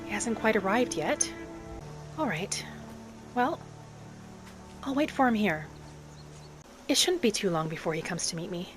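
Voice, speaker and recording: Soft, musing woman roleplaying an elf adventurer. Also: medium pitch, lively intonation, unhurried, pauses often. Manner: soft, musing, speaking thoughts aloud. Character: gentle, hopeful young female wood elf adventurer. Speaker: roleplay gamer narrating in character. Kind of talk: in-character game roleplay narration. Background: music.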